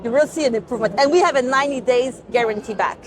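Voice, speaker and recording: A woman whose first language is Portuguese gives an earnest sales pitch in a slightly high voice, often hunting for words and repeating herself. A crowded expo hall chatters loudly around her. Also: rapid-fire, a crowd in the background. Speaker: exhibitor at a business expo. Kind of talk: casual sales pitch interview.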